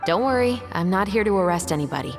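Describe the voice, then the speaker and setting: An actress playing a composed, shrewd female patrol captain in an anime video game, measured and firm. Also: mid-range voice, expressive, lightly acted. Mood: calm, reassuring. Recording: music under the voice.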